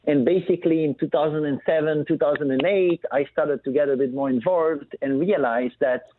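A man's voice: fairly high pitch, brisk, slight background noise. A man from Belgium speaking as a phone-in interview guest, explaining things conversationally with many filler phrases. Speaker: phone guest, family business entrepreneur. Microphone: phone call. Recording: muffled narrow phone line.

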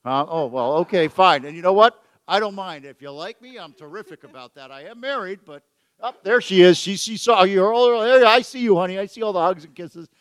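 A male radio host with a slightly raised pitch chats in an easy, joking way, often at his own expense. The sound is dry and close, with no room echo. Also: expressive, a little fast, fairly bright tone, clean tone. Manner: jokey, self-deprecating, chatty. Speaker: radio talk show host. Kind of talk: casual chat. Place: event venue with photographers.

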